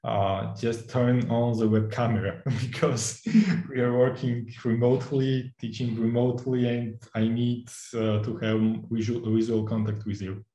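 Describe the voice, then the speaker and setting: A man's voice: mid-range voice, little pitch movement, unhurried, hardly any pauses, mellow, roomy sound, rough recording. A young adult man from Georgia with a Georgian accent, an instructor answering interview questions in English, hesitant, with many fillers and restarts, laughing often.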